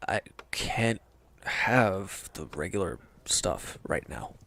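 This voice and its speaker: A man's voice: low voice, very animated, takes it slowly, pushed and bright, a little hiss or hum, exaggerated voice. A man acting in an audio roleplay as a sullen, defensive wounded villain, bitter and withdrawn, speaking in curt, grudging, evasive phrases.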